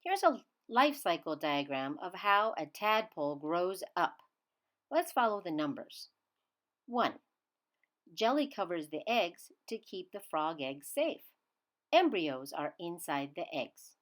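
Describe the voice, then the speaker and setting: A woman reading a children's science book aloud in a teacherly way, emphasizing key words with simple, expressive phrasing. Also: fairly low pitch, takes it slowly. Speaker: children's read-aloud reader.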